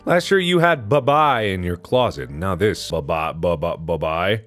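A man's voice: deep voice, expressive, normal speed, fairly bright tone, faint music behind. A man playing a stern, irritated father in a visual novel, scolding and dismissive. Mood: exasperated.

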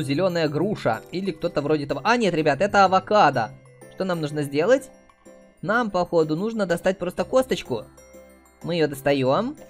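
High-voiced man commenting on mobile games. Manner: chatty, uses cute diminutives. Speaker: host of mobile game playthroughs. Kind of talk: casual gameplay commentary addressed to viewers.

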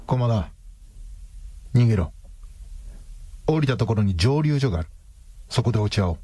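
An actor playing a tough, cool-headed, defiant private detective in a radio drama, speaking curtly and with command. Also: male voice, mid-range voice, lively intonation, moderate pace, warm tone, slightly rough, no echo. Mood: urgent. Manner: curt, commanding.

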